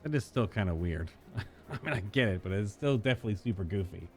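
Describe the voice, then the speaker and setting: Male game streamer, low register, playful and informal, reads story text aloud over prominent music. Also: lively intonation, fast. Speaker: gaming streamer. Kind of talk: live playthrough, reading dialogue aloud with casual reactions.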